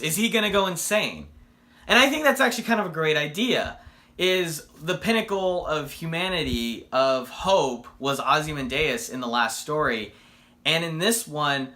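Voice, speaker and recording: A man's voice: mid-range voice, sharp tone. A man giving a casual, unscripted fan review as a video host, enthusiastic and conversational, often posing questions to the viewer.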